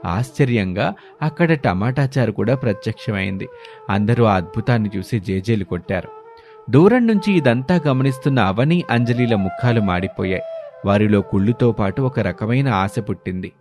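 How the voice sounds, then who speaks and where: A man's voice: lowish voice, animated, normal speed, darkish tone, soft background music. A man narrating a scripted moral story as the storyteller.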